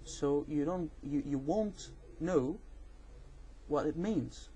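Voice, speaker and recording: A man with an Egyptian accent, a native Arabic speaker, giving an informal explanatory rebuttal in a halting, repetitive, insistent way. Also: on the high side, dark tone, slightly rough. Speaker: amateur video responder on religion.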